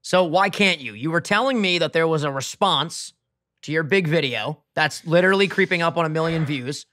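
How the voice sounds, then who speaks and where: A man co-hosting a podcast in casual banter, his voice a little hoarse, joking and teasing and swearing freely. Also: a little high, normal speed, sharp tone, no echo, clean studio sound. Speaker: podcast co-host.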